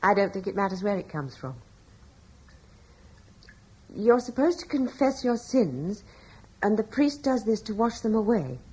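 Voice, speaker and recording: Young wife, period radio drama. Breathy, gentle, quietly devout, hesitant but reassuring. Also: female voice, medium pitch, very animated, measured pace, long pauses. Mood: gentle reassurance. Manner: earnest, tender, hesitant.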